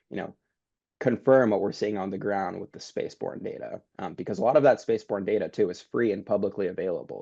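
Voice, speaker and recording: A man who is a guest researcher, informally explaining his work in an online Q&A, slightly hoarse as if losing his voice. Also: low voice, dark tone, dry recording. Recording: dull, muffled online call audio.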